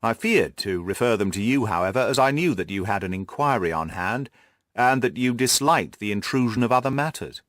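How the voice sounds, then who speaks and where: A man voicing an earnest, orderly gentleman companion in an audiobook, measured and deliberate in manner. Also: fairly low pitch, lively intonation, dry recording, clean studio sound. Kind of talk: audiobook character voice.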